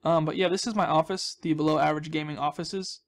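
A man's voice: fairly high pitch, fairly even intonation, quick pace. A man hosting a gaming channel with casual, rambling commentary, playful and goofy, often repeating his words and phrases.

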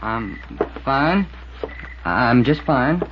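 An actor playing a nervous, evasive, simple farmhand in 1950s radio drama, stammering and repeating himself. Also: male voice, medium pitch, very animated, very slow. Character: jumpy, evasive male farm handyman, simple and defensive. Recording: dull, noisy 1950s radio recording.

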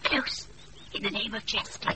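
An actress with a British accent playing a mischievous, bossy waiting-woman in a radio Shakespeare play. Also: close to an everyday voice. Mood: gleeful scheming. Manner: brisk, conspiratorial. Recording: muffled, noisy off-air radio recording.

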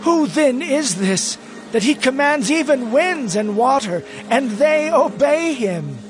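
A fearful disciple in a Bible drama. His voice is strained very high and drawn out, urgent and awestruck, over music. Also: lively intonation, takes it slowly, heavily acted. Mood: fearful awe. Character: frightened, awestruck male disciple. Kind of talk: dramatized audio Bible acting.

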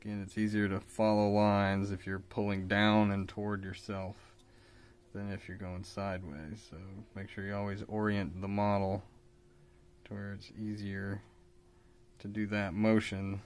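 A man in his thirties, a bit raspy from a cold, calmly talking through a miniature painting tutorial with plenty of fillers. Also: lowish voice, a little slow. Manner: relaxed, hesitant with fillers, explains while working. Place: hobby shop workbench indoors.

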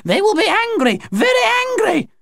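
A man's voice: extremely high pitch, huge pitch swings, unhurried, no echo, clean studio sound, pitched above the performer's own voice, full character voice. A man voicing a female alien machine posing as an old great aunt, pleading and frantic in a metallic squawk. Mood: frightened pleading.

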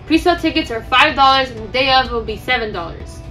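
A woman's voice: high-pitched, lively intonation, fast, bright, forceful tone, soft background music, low-quality recording. A teenage girl, a student news anchor, reading school announcements steadily from a script.

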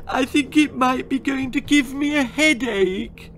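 A man's voice: very high voice, measured pace, a bit bright, a little hiss or hum, pitched above the performer's own voice. A man voicing a spoiled, manipulative little girl who sobs and whines to get her way. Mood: blubbering. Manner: sobbing, whining, wailing.

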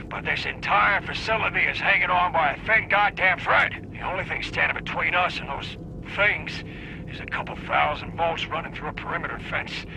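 An actor playing a blunt, fed-up colony foreman with a gravelly voice, griping through a game audio log. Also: fairly high pitch, background noise. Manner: blunt, exasperated, folksy. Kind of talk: gruff scripted audio log.

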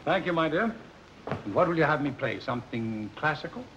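An actor playing an eloquent colonial Virginia patriot in a theatrical 1930s film style, declaiming with precise diction. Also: male voice, fairly high pitch, animated, fairly quick, close to an everyday voice. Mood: genial, reassuring. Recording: noisy 1930s film soundtrack.

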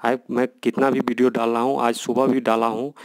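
A man's voice: mid-range voice, little pitch movement, fairly quick, a little mellow, no room sound. A man with an Indian accent hosting a channel, explaining informally to viewers in a repetitive, insistent way. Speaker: YouTube channel host.

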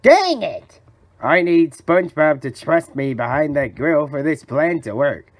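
A man's voice: a little high, very animated, unhurried. A man voicing a tiny scheming cartoon villain who is theatrical, gloating and falsely friendly. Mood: frustrated. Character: tiny scheming male villain posing as friendly magic spatula. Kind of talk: cartoon fan voice acting.

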